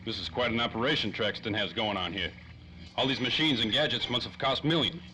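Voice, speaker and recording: A man's voice: medium pitch, a little fast, natural voice, poor audio. An actor playing a wary hard-boiled detective in old-time radio style, with clipped, wisecracking tough-guy talk. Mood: probing. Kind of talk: 1930s old-time radio drama acting.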